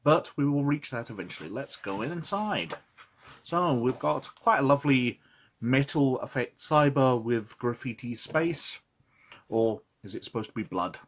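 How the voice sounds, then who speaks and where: A man's voice: mid-range voice, lively intonation, measured pace. Sniffly man with a cold. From Scotland. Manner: chatty, with frequent "uh" and asides. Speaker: host reviewing old roleplaying game books. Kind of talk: casual review, reading aloud from a rulebook.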